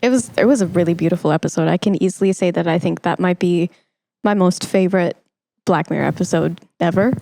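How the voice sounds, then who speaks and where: A woman's voice: lowish voice, fast talker, creaky, dark tone, dry recording. A woman hosting a podcast, chatting casually and encouragingly with a guest in an engaged interview style. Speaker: podcast host.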